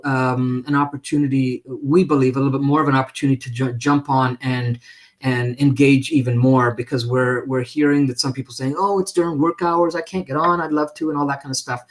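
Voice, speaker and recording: A man hosting a live stream in casual, unscripted talk, speaking haltingly in a friendly way as he makes announcements. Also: on the high side.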